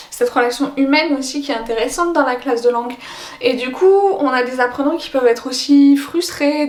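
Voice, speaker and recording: A young woman language teacher explaining her views to camera, thoughtful, pausing often on fillers. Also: high voice, reverberant. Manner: thoughtful, hesitant with frequent fillers. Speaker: French as a foreign language teacher.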